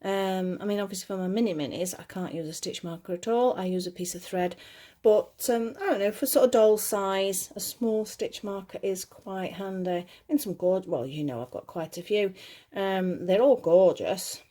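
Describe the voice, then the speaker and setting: A woman hosts a crochet tutorial in a soft-edged, mellow voice that has a slight gruffness to it. She chats casually through each step at a middling pitch, correcting herself and reassuring beginners. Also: moderate pace. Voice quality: slightly gruff, lower than her usual voice. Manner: chatty, self-correcting, reassuring toward beginners. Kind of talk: casual step-by-step craft instruction.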